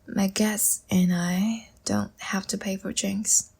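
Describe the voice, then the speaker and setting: Slow, breathy, seductive vampire woman played by an actress. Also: mid-range voice, flat delivery, exaggerated voice. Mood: coy, inviting. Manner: soft, coaxing, slow and teasing, often breathy near-whisper. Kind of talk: intimate roleplay audio drama.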